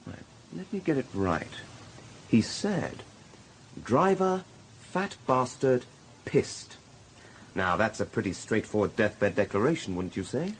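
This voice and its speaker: A man's voice: medium pitch, sing-song, slow, long pauses, a little hiss or hum, low-quality recording. A man acting a candid hospital doctor in a radio drama, British and conversationally matter-of-fact. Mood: brisk, candid. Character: brisk, candid male hospital casualty doctor.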